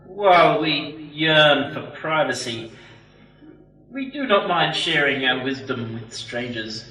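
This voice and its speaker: A zealous cult acolyte played by a man in a sci-fi radio play. His forceful, bright voice swings widely, pious and formal and quick to take offence. It is recorded in a very roomy space. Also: on the high side, lively intonation, far from the mic, full character voice.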